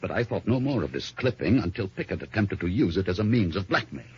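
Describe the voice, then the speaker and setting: A courteous, evasive gentleman under suspicion in an old radio drama. The voice is very low and heavily husky, polished in delivery. The sound is muffled. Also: sing-song, quick pace, exaggerated voice. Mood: guarded. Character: suave, guarded gentleman, murdered woman's husband and suspect.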